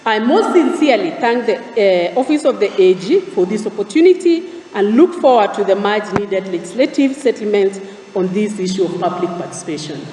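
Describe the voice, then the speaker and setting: A woman's voice: medium pitch, animated. Kenyan woman giving an echoey hall speech. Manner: measured, formal, enumerating points. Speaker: speaker at a government forum. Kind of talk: formal prepared public speech. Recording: distant, echoey hall with background noise.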